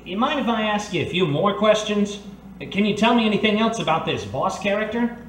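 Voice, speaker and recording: A man's voice: sits high, lively intonation, brisk, fairly bright tone. A man playing an earnest, polite and slightly awkward private eye in an old-time radio detective drama. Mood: probing, earnest. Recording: roomy, distant recording with faint noise.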